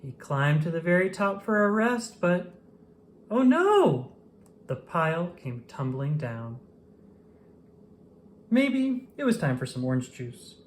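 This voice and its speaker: Animated children's storybook reader, very lively pitch. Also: slow, long pauses, slight background noise. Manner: animated, dramatic emphasis. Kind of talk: expressive read-aloud storytelling.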